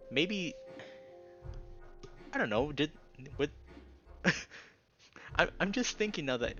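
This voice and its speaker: A man reading a visual novel aloud as a streamer, breaking off into casual, excitable reactions. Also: mid-range voice, highs cut off. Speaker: let's play streamer, narrator. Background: background music.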